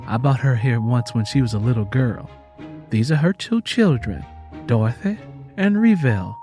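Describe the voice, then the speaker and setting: A man's voice: mid-range voice, lively intonation, moderate pace, mellow, faint music behind, lightly acted. A man playing a meek Southern grandmother. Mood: polite, guarded. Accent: American South. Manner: deferential, plain-spoken, cautious.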